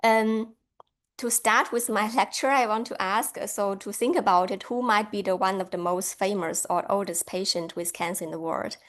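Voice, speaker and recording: A woman's voice: medium pitch, fairly even intonation, moderate pace, fairly bright tone. A woman from China giving a friendly academic lecture over a video call, laughing lightly now and then.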